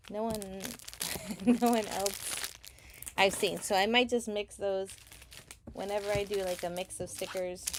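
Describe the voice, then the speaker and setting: A woman's voice: medium pitch, slight background noise. A woman who was born in Chile, chatting casually during a live selling stream, rambling a little and thanking buyers. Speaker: live seller. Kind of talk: casual livestream chat. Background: crinkling sticker packs.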